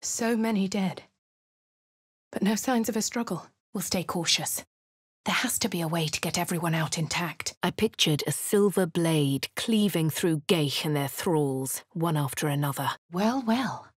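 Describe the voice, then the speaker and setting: An actress with a posh English accent playing a composed, wry female adventurer in measured narration. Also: lowish voice, husky, no echo, studio quality. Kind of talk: game character voice lines.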